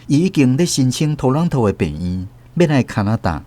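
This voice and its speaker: An actor playing a wistful older emigrant recalling lost love, narrating a radio drama reflectively with a Taiwanese accent. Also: male voice, medium pitch, big pitch swings, fairly quick, background noise, lightly acted. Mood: sombre remembrance.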